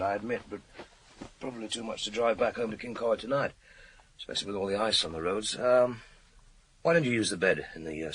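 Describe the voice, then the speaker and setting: An actor playing a weary, sardonic police inspector in a radio crime drama, blunt and dry in manner. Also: male voice, on the low side, a bit bright, a little hiss or hum, rough recording. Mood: awkward, wry.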